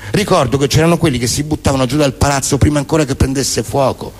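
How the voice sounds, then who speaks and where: A man performs theatrical spoken word as a stage monologue, in a deadpan, declamatory manner. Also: medium pitch, fast. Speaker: stage performer reciting poems and prose monologues.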